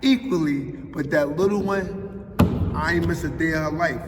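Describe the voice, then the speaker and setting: A man's voice: on the high side, measured pace, a little hiss or hum. A man in his forties from Philadelphia with a Philadelphia accent, answering interview questions in an emphatic, streetwise way. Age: 40s. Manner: street-talking, emphatic, often adds "you know what I mean". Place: large echoey public building. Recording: roomy hall echo, distant mic, faint ambience.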